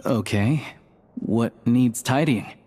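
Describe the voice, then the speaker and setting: A man playing a calm, courteous, reassuring accomplice in a video game, speaking in a composed and measured way. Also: medium pitch, a little hiss or hum, lightly acted. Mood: calm, assured. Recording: music under the voice.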